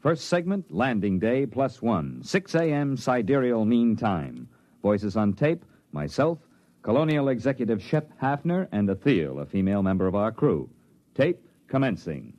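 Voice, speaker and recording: A man playing a biologist who dictates an official report in clipped, formal, deliberate old-time radio narration. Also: medium pitch, big pitch swings, a little mellow, no echo, close to an everyday voice. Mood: official, solemn. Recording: muffled, dry vintage radio recording.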